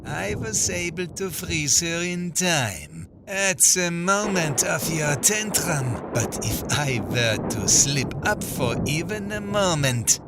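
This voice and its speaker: A haughty old villain from a fantasy game dub, drawing out his words in a fairly high, gravelly voice. He is pompous and theatrical, scheming with open menace. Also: animated, takes it slowly, hardly any pauses, loud music behind the voice. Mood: menacing, indignant.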